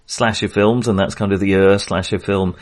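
A man's voice: lowish voice, steady tone, moderate pace. A man in a casual film discussion, thinking aloud in a hesitant way and correcting himself as he goes. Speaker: film podcast host.

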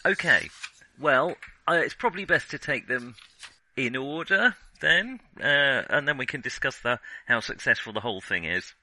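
Male film podcaster, very bright crisp-edged tone, dry sound, conversational and halting, thinks aloud. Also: mid-range voice, sing-song, no room sound, poor audio. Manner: hesitant, self-correcting, searching for words. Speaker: podcast co-host. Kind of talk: casual film discussion.

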